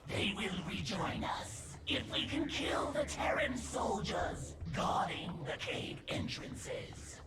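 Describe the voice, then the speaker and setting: A woman voicing a devoted, eerie alien insectoid brood mother in a video game, breathy and solemn with an echoing monster effect. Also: medium pitch, expressive, slow, hardly any pauses, heavy background noise. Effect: echoing monster voice processing. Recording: echoey, distant, under loud game music.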